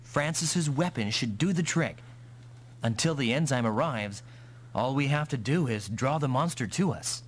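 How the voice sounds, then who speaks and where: An actor playing an earnest male mech pilot hero with a husky voice in an anime-style game dub. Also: mid-range voice, expressive, moderate pace, a little hiss or hum, close to an everyday voice. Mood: determined.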